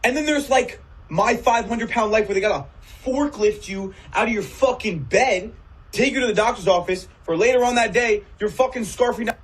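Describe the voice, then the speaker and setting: A man with a high, husky voice rants in a casual clip. He mocks and dismisses, swearing often. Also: normal speed, poor audio. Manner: mocking, profane, dismissive.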